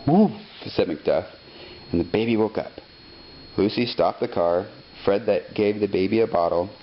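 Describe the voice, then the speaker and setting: A man narrating a children's story expressively, reading deliberately phrase by phrase. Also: lowish voice, steady tone. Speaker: storybook reader. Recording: dull lo-fi sound, faint noise.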